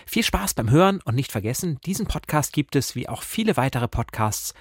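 Clear-voiced man hosting, close and dry. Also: mid-range voice, very animated, a little fast, dry recording. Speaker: podcast host. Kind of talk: chatty literary podcast presenting.

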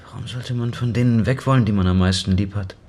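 An actor playing a soft-hearted, vulnerable lover in a naturalistic radio drama, with a gentle, hesitant way of speaking. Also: male voice, lowish voice, fast talker, close to an everyday voice. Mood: pensive, hurt.

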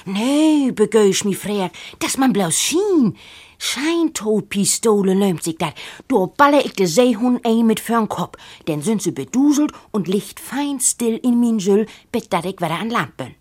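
A woman's voice: mid-range voice, animated, a little slow, a little mellow, voice pushed up. A woman voicing a boastful, scheming boy with eager bravado in a dramatic story reading. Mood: reassuring, scheming. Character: boastful, scheming boy planning a seal hunt.

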